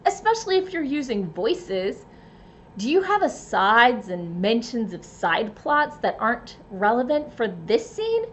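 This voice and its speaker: A woman reading scripted advice as a presenter, with careful, expressive emphasis and playful asides. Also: a little high, muffled recording, a little hiss or hum. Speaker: writer and presenter giving tips.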